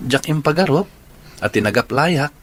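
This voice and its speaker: A man's voice: on the high side, lively intonation, moderate pace, slight background noise, lightly acted. A man playing a shy, polite young village suitor in an audio drama, with an easygoing manner. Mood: bashful.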